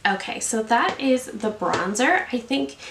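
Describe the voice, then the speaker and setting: A woman's voice: fairly high pitch, lively intonation, measured pace, vocal fry, a bit bright, echoey room, slight background noise. A woman in her thirties with a congested cold voice, reviewing and applying makeup in a chatty, enthusiastic way. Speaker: beauty vlogger. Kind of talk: product review and makeup tutorial. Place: indoor room, seated at a plain backdrop.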